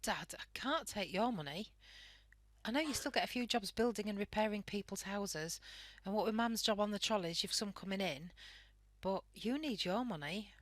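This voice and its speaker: A woman's voice: medium pitch, lively intonation, normal speed, no echo, close to an everyday voice. A woman playing a worried, caring young British wife in a wartime radio play. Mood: anxious.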